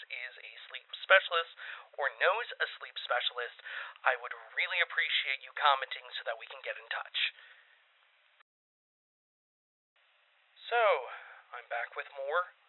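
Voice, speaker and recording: A man playing an anxious amateur podcaster in a found-footage audio drama, rambling haltingly through a confessional monologue. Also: medium pitch, close to an everyday voice. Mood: worried, pleading. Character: anxious man recording a confessional podcast about eerie sleep noises. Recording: muffled, tinny radio or phone-like sound.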